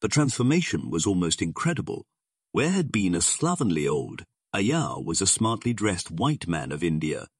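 A man with a husky voice reading scripted narration of a pulp adventure story as an audiobook narrator. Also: low-pitched, sing-song, normal speed, no room sound, clean studio sound.

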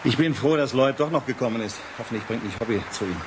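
An actor in a 1980s German radio drama playing a preoccupied, ambitious husband with a plain, brief way of talking. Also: male voice, mid-range voice, fast, natural voice. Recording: clear background ambience noise.

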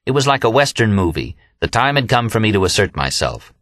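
A man with a low-pitched American voice narrates a novel in the first person. The recording is dry and close, with very little room sound. He reads from a script, as an audiobook narrator does. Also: sing-song, fairly quick, a bit bright, no echo.

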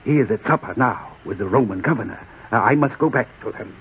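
An actor playing a flustered, self-important innkeeper in a 1940s radio drama, with a hesitant, fussy delivery. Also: male voice, medium pitch, fairly quick, a little hiss or hum, close to an everyday voice. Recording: tinny vintage 1940s radio broadcast.

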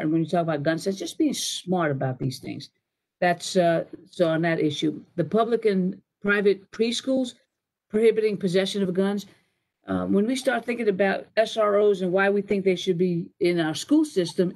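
A woman's voice: fairly low pitch, a little mellow. A middle-aged woman on a town hall panel explaining safety policy over a video call from a home office, rambling with restarts and fillers. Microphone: video call webcam.

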